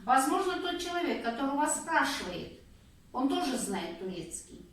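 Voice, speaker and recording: A woman teaching a Russian lesson one-on-one, guiding patiently with slow, clear prompts. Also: high-pitched, big pitch swings. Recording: echoey room, distant mic, faint noise.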